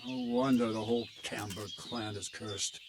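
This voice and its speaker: An actor playing a gruff, blunt medieval army captain in a video game cutscene, telling a grim tale in a steady, weighty way. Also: male voice, fairly low pitch, normal speed, background noise, lightly acted. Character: gruff middle-aged male army captain. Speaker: military captain.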